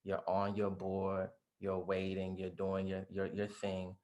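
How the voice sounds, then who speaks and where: A man's voice: low-pitched, completely flat delivery, slow, darkish tone. A man hosting a podcast interview over a video call, playful and emphatic, joking easily with his guest. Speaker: podcast host. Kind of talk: casual interview chat.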